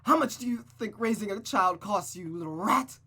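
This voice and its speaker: A reader voicing a cruel, abusive mother who speaks with cold menace and rages in a shout. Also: medium pitch, expressive, normal speed, slightly rough, pitched above the performer's own voice. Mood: raging. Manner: menacing, then shouting in fury. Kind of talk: dramatic reading of a visual novel.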